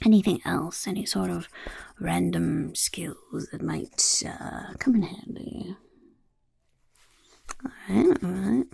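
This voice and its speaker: A woman voicing a sly, self-important goblin overlord who interviews recruits in soft-spoken ASMR roleplay, whispering and talking about itself in the third person. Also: lowish voice, slow, pauses often, no echo, exaggerated voice. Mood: scheming. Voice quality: gravelly.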